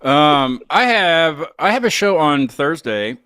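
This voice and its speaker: A man's voice: on the high side, very animated, slow pace, pushed and bright, clear, resonant tone, no room sound. American man chatting, close dry sound. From United States. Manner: rambling, informal, mild swearing. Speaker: interviewer, music show host. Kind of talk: casual chat.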